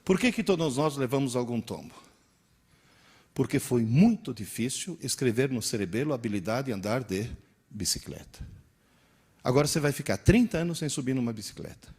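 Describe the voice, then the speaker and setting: A man's voice: medium pitch, breathy edge. A man in his sixties, born in Italy with Italian as his first language, gives an interactive lecture to teachers. He tells stories and quizzes the audience. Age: 60s. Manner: animated, storytelling, quizzes the audience.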